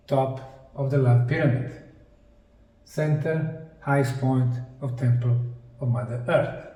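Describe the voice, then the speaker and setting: A man with a Bosnian accent giving an explanatory presentation, speaking emphatically in short, dramatic phrases with pauses. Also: mid-range voice, mellow. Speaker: presenter, researcher. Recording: echoey room, distant microphone.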